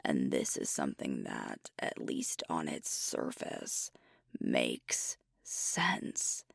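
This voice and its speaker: A woman playing a frustrated, doubting alpha werewolf pack leader in an intimate ASMR roleplay, speaking breathily and deliberately into a close microphone. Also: extremely low pitch, big pitch swings, no room sound, full character voice. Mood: self-doubting. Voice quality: breathy, whispery.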